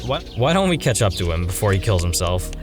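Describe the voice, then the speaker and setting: A man's voice: sits low, very animated, fast, over music. An actor playing an anxious, guilt-ridden young man in a radio drama, hesitant and worried. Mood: subdued.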